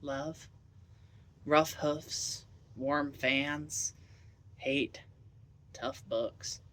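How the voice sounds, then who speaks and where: Slow, theatrical man reciting poetry. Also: fairly high pitch, fairly even intonation, a bit bright. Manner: theatrical, deliberate, whimsical delivery. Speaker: poet reading own poems.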